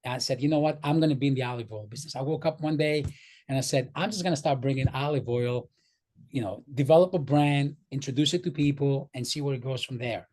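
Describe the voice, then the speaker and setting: Greek-accented man pitching his products. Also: fast talker, darkish tone. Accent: slight Greek. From Greek, born in New York. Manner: earnest, repeats words and restarts often. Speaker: vendor presenting his company. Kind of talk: casual business presentation on a video call. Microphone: video call.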